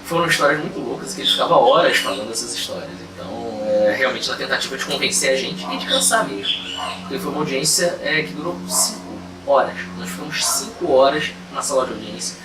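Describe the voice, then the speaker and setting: A man from Rio, a lawyer recounting a case in an easygoing, chatty way, pausing often on fillers. He sits back from the mic in a reverberant room, with a steady noise bed audible behind his voice. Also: mid-range voice. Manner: relaxed, conversational, frequent fillers and asides. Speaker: lawyer telling a case story. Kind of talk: casual storytelling to camera. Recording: roomy, distant mic, clear background noise.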